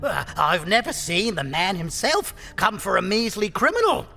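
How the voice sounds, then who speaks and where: An actor playing a mocking, leering prisoner in an audio drama, with a creaky, taunting sing-song delivery. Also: mid-range voice, big pitch swings, a little slow, sharp tone, full character voice. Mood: mocking amusement. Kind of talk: scripted audio drama acting. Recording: long cavernous reverb, music behind.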